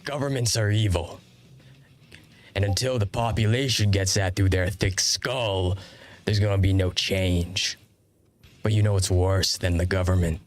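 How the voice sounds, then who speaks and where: A man's voice: deep voice, fairly even intonation, a little slow. Man voicing a cursing rebel rocker. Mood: swaggering. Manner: swaggering, cursing, preachy. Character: brash, profane male rebel rocker who hates governments. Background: background guitar music.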